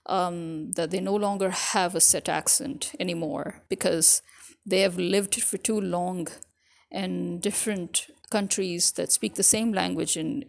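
A woman's voice: fairly low pitch, gravelly. A young woman with a mixed British, American and Pakistani English accent, talking casually and rambling with many fillers as an author vlogging. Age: young adult. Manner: rambling, frequent fillers, self-correcting. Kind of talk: casual spoken monologue.

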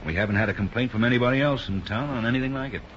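A man playing a deliberate, skeptical police sergeant in a 1950s radio drama, with measured, doubtful delivery. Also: medium pitch, a bit bright, natural voice. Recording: dull, noisy 1950s radio recording.

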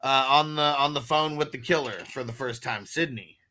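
A man's voice: mid-range voice, steady tone, moderate pace, sharp tone. A man hosting a casual movie review podcast, steering the chat. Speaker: podcast host steering the discussion.

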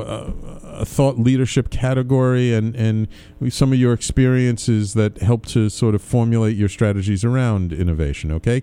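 A man's voice: medium pitch, mellow, smooth, no room sound. A man hosting a radio show in a casual, conversational interview style, chatty and rambling as he talks.

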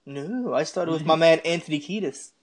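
A man's voice: on the high side, moderate pace. A man co-hosting a podcast, chatting informally and conversationally with some slang. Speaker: podcast co-host. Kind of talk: casual conversational podcast chat.

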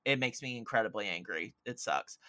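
A man's voice: lowish voice, expressive, a little fast, bright, forceful tone. A man chatting casually about films as a podcast guest, opinionated, emphatic and effusive, with rambling asides. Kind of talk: casual film chat.

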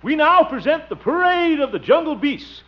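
A man's voice: extremely high pitch, big pitch swings, moderate pace. An actor playing a genial, bumbling grown man who runs an amateur circus, in 1950s radio comedy style, showy and easily flustered. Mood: showy, excited. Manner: showy announcing, flustered exclamations. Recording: muffled, hissy old radio recording.

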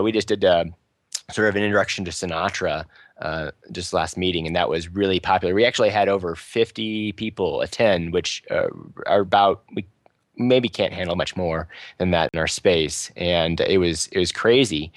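A man with a fairly loud, somewhat low voice talks casually about running his local user group. His delivery is loose and chatty, full of fillers and restarts. The sound is dry and close, with no room around it. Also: no echo. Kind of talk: casual podcast panel talk.

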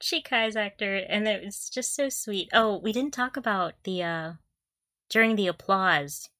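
A woman's voice: mid-range voice, a little slow, sharp tone, dry recording, studio quality. A young woman co-hosting a casual fan podcast, talking in a giggly, gushing way. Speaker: podcast co-host.

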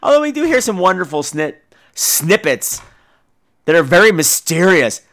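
A man's voice: sits high, very animated, normal speed, fairly bright tone. A man hosting a podcast, telling casual comic stories in a gossipy, catty, profane way with dramatic emphasis. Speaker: podcast host.

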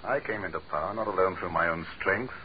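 Deep-voiced sneering tyrant facing death, played by a man, old-time radio drama, faint hiss. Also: close to an everyday voice. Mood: commanding. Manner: sneering, commanding, measured. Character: doomed male dictator, contemptuous and cold. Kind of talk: 1940s radio drama acting. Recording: muffled 1940s radio broadcast.

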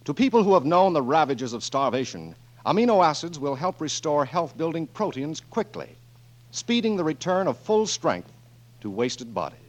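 A man's voice: a little high, very animated, normal speed, low-quality recording. An American man making scripted 1940s radio announcements in a formal, emphatic manner. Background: music.

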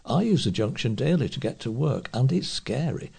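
A man's voice: medium pitch, sing-song, normal speed, vocal fry, a little mellow, husky. A man reading local newspaper reports aloud as a volunteer newsreader.

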